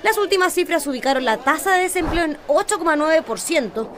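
Female TV reporter, voice-over, bright animated read. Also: high-pitched, fairly quick, some chatter behind, slight background noise. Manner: crisp broadcast reading. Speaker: news reporter. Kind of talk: news voice-over narration.

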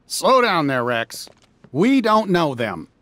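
An actor playing a friendly, easygoing cowboy toy leader in a video game, folksy and reassuring. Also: male voice, a little high, very animated, slow pace, bright, forceful tone, no echo, exaggerated voice. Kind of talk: animated video game dialogue.

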